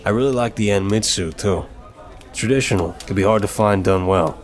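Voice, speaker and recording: Man playing a low-voiced, teasing mafia boss sweetheart. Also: fairly quick, soft background music, close to an everyday voice. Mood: teasing, flirtatious.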